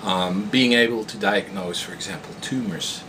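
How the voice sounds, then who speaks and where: Dutch-accented man, lowish voice, noisy room. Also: normal speed. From Netherlands. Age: 30s. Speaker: postdoctoral researcher. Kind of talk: informal self-introduction to camera. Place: indoors in a laboratory. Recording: clear steady room noise in lab.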